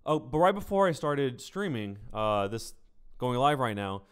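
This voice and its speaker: A man's voice: medium pitch, sing-song, a little slow, clean tone. A man hosting a live game stream, giving casual news commentary in an informal, conversational way, speaking directly to his viewers. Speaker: game streamer and video host.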